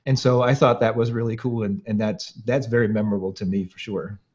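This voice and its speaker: A man in his late thirties, born and raised in Austria, talks through his answers in an interview with a mellow, warm tone. He keeps pausing on "uh" and "um" and restarting his sentences, and laughs lightly now and then. The recording sounds somewhat dull and lo-fi. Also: on the low side, fairly even intonation. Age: late 30s. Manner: thoughtful, many fillers and restarts, laughs often. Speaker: guest harpsichordist. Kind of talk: interview conversation.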